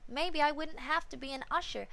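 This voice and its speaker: A woman voicing a wistful, sarcastic teenage girl with an expressive delivery in a fan fiction reading. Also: sits high, fairly quick, vocal fry, full character voice.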